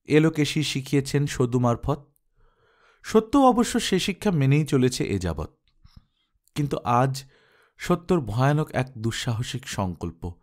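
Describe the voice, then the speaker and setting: A man's voice: medium pitch, big pitch swings, unhurried, pauses often, a little mellow. A man narrating a literary story in a dramatized audiobook reading style.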